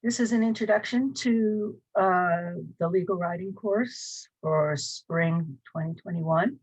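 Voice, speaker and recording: A woman's voice: fairly low pitch, takes it slowly, darkish tone, slightly rough, rough recording. A woman in her sixties from Cleveland with a Northern US accent, introducing her college course in a chatty, rambling way with many fillers. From Cleveland, Ohio. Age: 60s. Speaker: college instructor. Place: small home office. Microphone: webcam at desk.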